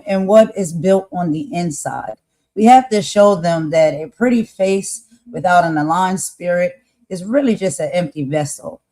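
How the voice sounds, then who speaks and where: A man co-hosting a live stream, raspy-voiced, speaking earnestly about values and calling his audience y'all. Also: sits high, warm tone. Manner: preachy, earnest, addresses viewers as y'all. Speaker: co-host. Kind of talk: casual live-stream talk.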